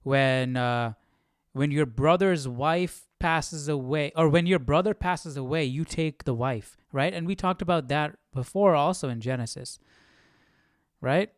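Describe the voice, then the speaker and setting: A man's voice: on the high side, measured pace, dry recording, studio quality. A man hosting a live Bible study stream, chatting casually and jokingly as he thinks aloud. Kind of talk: casual chat, reacting to a reading.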